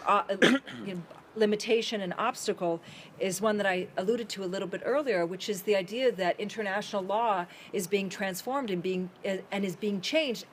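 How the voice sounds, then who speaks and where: Panelist: woman, mid-pitched, lays out numbered points briskly, faint hiss behind. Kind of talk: panel discussion remarks. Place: conference hall.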